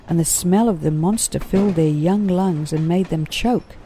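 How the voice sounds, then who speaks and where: A woman's voice: low-pitched, warm tone, a little hiss or hum. A woman narrating a children's story in a scripted read-aloud style.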